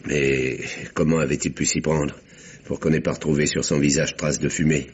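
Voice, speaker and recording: An actor playing a courteous, puzzled gentleman in a vintage French radio mystery, asking questions politely and with some hesitation. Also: very deep voice, flat delivery, normal speed, breathy edge. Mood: puzzled, doubting. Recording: muffled, noisy old radio recording.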